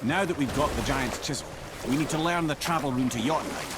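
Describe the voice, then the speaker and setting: An actor with a Scottish accent playing a wry, learned old advisor, telling tales theatrically in a video game. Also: male voice, mid-range voice, a little fast, heavy background noise, lightly acted. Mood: informative. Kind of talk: theatrical storytelling in game dialogue.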